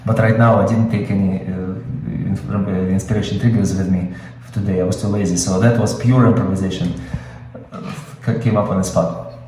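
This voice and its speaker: A man's voice: deep voice, fairly even intonation, a little fast, warm tone, heavy reverb, recorded from a distance, a little hiss or hum, rough recording. A man with a Russian accent, Russian his first language, teaching screenwriting in an improvised webinar, explaining with many fillers and restarts. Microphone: video call.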